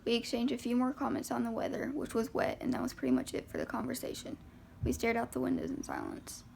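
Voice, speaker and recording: A woman with a creaky, fry-laden voice reading first-person novel narration aloud as an amateur audiobook reader. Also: a little high, huge pitch swings, fast, darkish tone, slight background noise.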